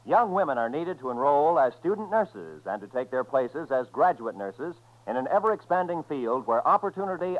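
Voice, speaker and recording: A man with an American accent announcing formally from a script in 1950s radio style. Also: medium pitch. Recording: muffled 1950s radio recording.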